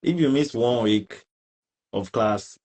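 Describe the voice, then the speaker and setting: A man's voice: mid-range voice, slow pace, a little mellow, breathy edge. A young man with a Nigerian accent, answering casually as a student in an interview and often adding little tag questions. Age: young adult. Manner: informal, frequent tag questions like "right?" and "you get".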